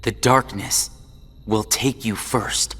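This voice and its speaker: A man voicing a cold, taunting young male rival in a game cutscene, who bursts into furious shouting. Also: fairly low pitch, fairly even intonation, takes it slowly, slightly rough, exaggerated voice. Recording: game audio with long reverb under loud music.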